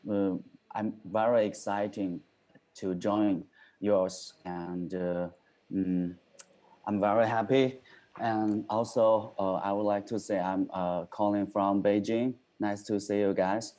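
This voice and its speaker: A man who is a doctoral student introduces himself on a video call, halting with many fillers. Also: lowish voice, steady tone, dark tone.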